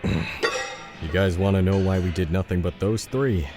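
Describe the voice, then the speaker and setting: Big gruff ex-soldier coaching his team played by a man, low-pitched, music underneath. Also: unhurried. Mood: serious. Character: big burly ex-military trainer, gruff but supportive. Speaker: military veteran and coach. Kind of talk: audio fiction dialogue.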